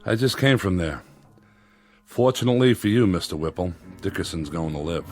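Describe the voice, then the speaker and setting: Deep, grave company man. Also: animated, moderate pace, soft background music. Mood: grave, reproachful. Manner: sober, terse. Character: grave, reproachful company man, loyal to his friend. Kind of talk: radio drama acting.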